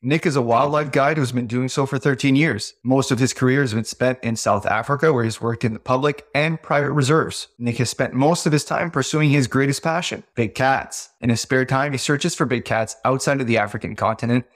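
A man's voice: mid-range voice, fairly quick, few pauses. A man hosting a podcast interview over a call, friendly and joking, often stumbling over his words. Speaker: podcast host. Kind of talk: casual interview.

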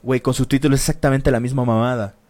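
A man's voice: mid-range voice, steady tone, racing, a little mellow. A man co-hosting a casual chat, slangy and full of swearing and fillers.

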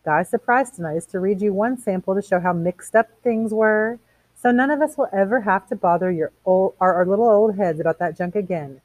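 A woman's voice: medium pitch, a little fast, darkish tone, no room sound, voice pushed up, natural voice, rough recording. Woman doing fussy housewife, quavery, nervous false cheer, coaxing, storybook character, dry sound. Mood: nervous cover-up.

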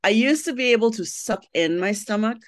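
A woman's voice: a little high, expressive, fairly quick, no echo, studio quality. A woman from Trinidad, a writer and blogger, chatting as a podcast guest and telling her story candidly with frequent laughs. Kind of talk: casual interview chat.

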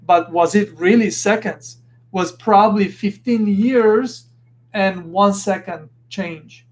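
A man's voice: high voice, slow pace. A man from Lebanon hosting a podcast, talking earnestly in a casual motivational monologue and often restarting as he searches for words. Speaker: podcast host.

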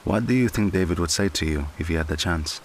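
An actor playing a gentle male friend who soothes a grieving widow in a patient, comforting way. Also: low-pitched, fast, a little hiss or hum, lightly acted. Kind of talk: dramatic dialogue.

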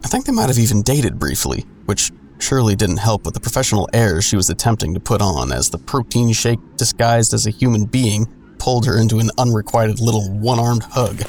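World-weary sardonic narrator, gravelly, dry asides, some noise behind him. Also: male voice, fairly low pitch, big pitch swings, brisk, few pauses, darkish tone. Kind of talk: first-person noir-like audio drama narration.

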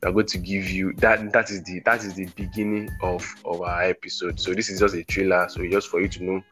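Guy hosting a podcast, low voice but crisp and bright, keeps repeating himself, soft music behind. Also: little pitch movement. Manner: halting, many restarts and repeated words. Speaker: podcast host. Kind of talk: casual podcast chat.

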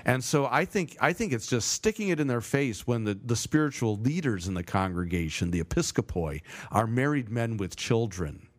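A man co-hosting a casual theology podcast, speaking haltingly and often repeating words as he works out his point. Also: medium pitch, lively intonation.

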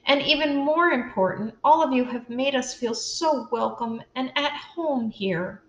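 A woman's voice: high voice, unhurried, highs cut off, voice pushed up. An older woman voicing an earnest, determined young orphan girl in a storybook reading. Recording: roomy echo.

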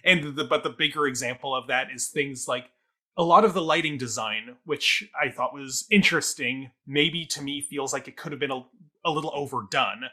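A man's voice: medium pitch, normal speed, pushed and bright. A man co-hosting a theatre review podcast, talking casually in rambling, hedged, self-correcting sentences.

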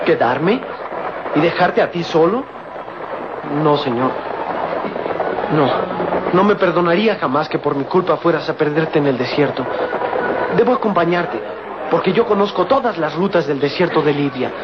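An actor playing a cautious, devoted young servant in an old radio serial, talking respectfully and earnestly. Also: male voice, fairly high pitch, lively intonation, moderate pace, pauses often, over a noisy crowd, lightly acted. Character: loyal young male servant boy, cautious, devoted, talkative. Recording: muffled, very noisy old radio recording.

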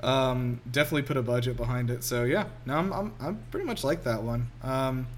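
A man's voice: mid-range voice, fairly quick, slight background noise. A man talking casually and informally as a podcast co-host, giving film commentary. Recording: music behind the voice.

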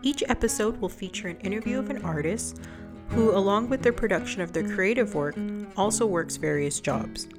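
A woman's voice: medium pitch, expressive, moderate pace, over music. A woman hosting a podcast, reading a scripted intro and then asking questions with brief, encouraging prompts. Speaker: podcast host.

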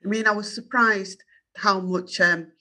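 Yorkshire woman, fifties, journalist guest, earnest and self-assured, tense bright tone. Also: mid-range voice, fairly even intonation, unhurried. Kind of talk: candid interview answers.

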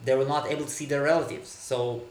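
A man from Israel, a native Hebrew speaker, answering interview questions in a halting way with many fillers and repeated words. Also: mid-range voice, fairly even intonation, reverberant. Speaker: podcast guest, author. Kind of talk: casual interview talk. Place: Berlin.

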